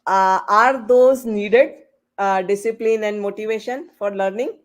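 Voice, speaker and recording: A woman hosting a panel discussion on a video call, asking rambling questions in a casual, halting way with many "you know"s. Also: mid-range voice. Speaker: host and moderator of a panel podcast. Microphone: online video call.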